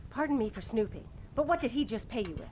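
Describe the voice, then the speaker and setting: An actress playing a young, greedy, bossy wife in an audio drama, speaking with impatient, pushy persuasion. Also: female voice, on the high side, expressive, quick pace, heavy background noise, lightly acted. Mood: coaxing. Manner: impatient, wheedling, commanding.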